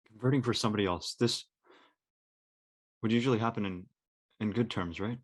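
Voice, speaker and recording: Man, fairly low pitch, dry recording, casual panel chat, questioning, informal. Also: brisk, no room sound.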